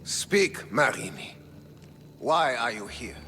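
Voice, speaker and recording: A man's voice: medium pitch, lively intonation, slow, pushed and bright, a little hiss or hum, exaggerated voice. A man acting a haughty, scornful Spartan king in a video game, commanding and sardonic, with a declamatory delivery. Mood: indignant. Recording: reverberant stone hall, music underneath.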